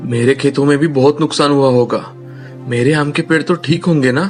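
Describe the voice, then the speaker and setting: A man's voice: mid-range voice, steady tone, fairly quick, natural voice. A man voicing a polite, earnest, hardworking young village farmer in a cartoon story. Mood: worried. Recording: music under the voice.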